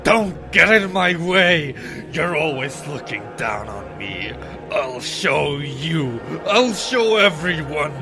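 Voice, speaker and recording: Actor as unhinged high-voiced young man, halting. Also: big pitch swings, exaggerated voice. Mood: bitter fury. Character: unhinged young man obsessed with becoming a hero. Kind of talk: horror audio drama acting. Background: music.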